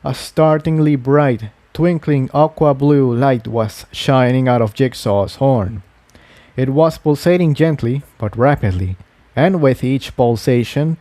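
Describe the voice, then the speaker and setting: Mellow-voiced man narrating a story. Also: medium pitch, dry recording. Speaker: audiobook-style reader of fan fiction.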